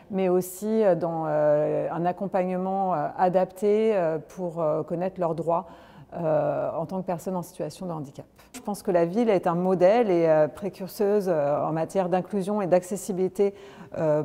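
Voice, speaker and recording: A woman's voice: lowish voice, mellow, big-room sound. A woman speaking as a local official in an interview, carefully and in short broken phrases. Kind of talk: interview statement.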